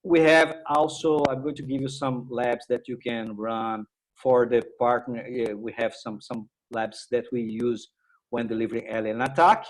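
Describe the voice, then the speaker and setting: A man from Brazil with a Brazilian accent and Portuguese as his native language, giving an informal online tech presentation in a halting, repetitive way with "right?" tags. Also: medium pitch, little pitch movement. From Minas Gerais, Brazil. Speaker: technical presenter and workshop instructor.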